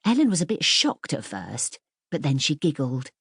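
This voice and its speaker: Expressive woman narrator, slightly low, dry sound. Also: normal speed, slightly rough, no room sound. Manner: expressive storytelling. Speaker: audiobook narrator. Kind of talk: scripted children's story narration.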